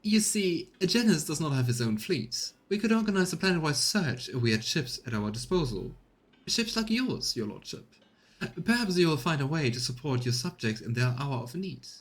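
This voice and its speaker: A man's voice: fairly high pitch, very animated, moderate pace. A man voicing a courtly, self-assured female governor in a video game, speaking in formal, flowery and deferential phrases. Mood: courtly, pleading. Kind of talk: read-aloud game dialogue.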